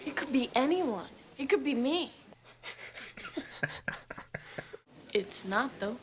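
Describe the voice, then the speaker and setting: A woman's voice: high-pitched, lively intonation, moderate pace. An actress playing a sweet, earnest and slightly awkward teenage schoolgirl in a TV drama. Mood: nervous. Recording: TV clip with background noise.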